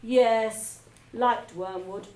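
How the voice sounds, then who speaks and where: Loud barking headmistress a teacher puts on, impatient and bossy, echoey room. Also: female voice, on the high side, fairly even intonation, very slow. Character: bullying, barking headmistress, a big strong woman. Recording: echoey room, background ambience.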